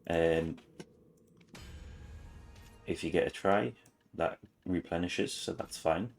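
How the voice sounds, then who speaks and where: A man with a raspy voice this morning, talking through a game guide with many ums and restarts, slipping in words such as "whilst". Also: low-pitched, darkish tone. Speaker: gaming channel host.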